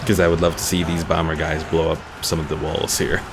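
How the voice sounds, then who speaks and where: A man's voice: extremely low pitch, monotone, a little fast, background noise. A man doing casual, chatty gameplay commentary as a streamer and reading out viewer chat, with game music behind him. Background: video game music.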